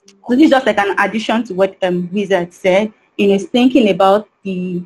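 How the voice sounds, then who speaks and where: A woman's voice: mid-range voice, brisk, darkish tone, slightly rough, low-quality recording. A woman in her thirties, a panelist on an online video call over a headset, speaking earnestly and often saying "you know".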